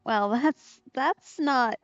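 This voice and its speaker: A woman's voice: on the high side, very slow. A woman doing casual let's play commentary with wry, understated asides, on a close mic with slightly dull sound. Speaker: streamer, let's player.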